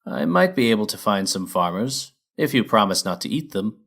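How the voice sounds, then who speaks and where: A man's voice: on the low side, expressive, moderate pace, fairly bright tone. A man voicing a wary old male pony in a storybook, speaking in a folksy, plain way. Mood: considering.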